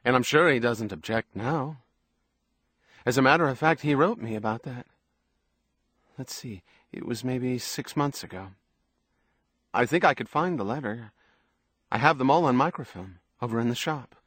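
A man's voice: mid-range voice, animated, moderate pace, long pauses, a bit bright, no echo, clean studio sound, pitched above the performer's own voice. A man voicing a troubled, needy young woman in audiobook dialogue, with an earnest, pleading manner. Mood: matter-of-fact. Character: troubled young woman, fragile, defiant, trusting, emotionally needy.

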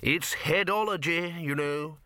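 A man voicing an elderly wizard lecturer in an audiobook, eager, earnest and smugly pleased with his own cleverness, speaking slowly. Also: on the high side, sing-song, bright, forceful tone, dry recording, clean studio sound, voice pushed up. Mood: triumphant, smug. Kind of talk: audiobook character acting.

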